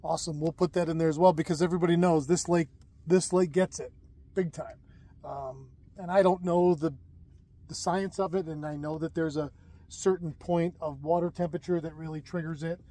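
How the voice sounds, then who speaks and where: A man's voice: on the high side, steady tone, a little fast, dark tone. A man hosting a fishing show outdoors by a lake, chatting casually and rambling with fillers and restarts. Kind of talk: casual outdoor chat and demonstration. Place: lakeside outdoors.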